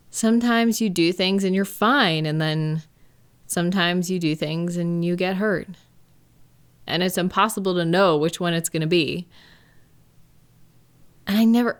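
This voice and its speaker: A gentle live-in partner played by a woman speaks in a low-pitched voice. She is tender and reassuring, taking her time as if in a private one-sided conversation. It sounds like an intimate comfort roleplay audio. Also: close to an everyday voice. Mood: worried, caring.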